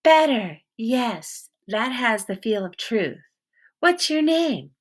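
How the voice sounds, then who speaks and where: A woman reading the part of an eccentric, sharp-tongued middle-aged woman with a wooden leg, who probes and mocks as she speaks. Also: fairly high pitch, very animated, slow, pitched above the performer's own voice, exaggerated voice. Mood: mocking. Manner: mocking, probing, sharp-tongued.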